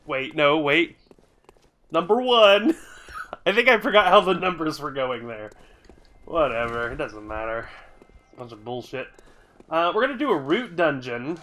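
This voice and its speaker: Slow-talking stuffy game streamer man. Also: on the high side, expressive, fairly bright tone. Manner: rambling, grumbly, swears casually, talks to chat. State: stuffy from a cold. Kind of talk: casual live-stream chat while playing.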